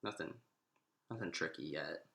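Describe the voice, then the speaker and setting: A man giving an informal, step-by-step maths lesson, thinking aloud in a halting way and often restating himself. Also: very deep voice, vocal fry, bright, forceful tone. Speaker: maths lecturer. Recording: echoey room.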